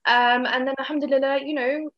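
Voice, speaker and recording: A woman's voice: high voice, fairly even intonation, fairly quick, no echo. A woman co-hosting a podcast, speaking encouragingly and prompting her co-host. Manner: encouraging, frequent religious phrases and backchannels. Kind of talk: casual podcast chat. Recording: dull lo-fi dry recording.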